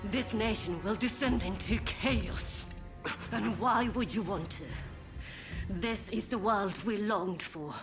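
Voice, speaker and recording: A slow, taunting villain from a dramatic video game cutscene. The voice is ominous and war-hungry, with clear music playing underneath. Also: medium pitch. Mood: cold, gloating.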